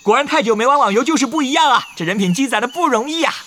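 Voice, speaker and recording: A cocky young male gamer swordsman for an audio drama, very high in pitch. He rattles on quickly, repeating himself and exclaiming, a born chatterbox. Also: expressive, background noise. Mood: gloating.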